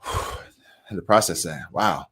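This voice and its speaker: An American man in his thirties telling his personal story as an interview guest, earnest and halting, often repeating words. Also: deep voice, sharp tone, husky. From United States. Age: 30s.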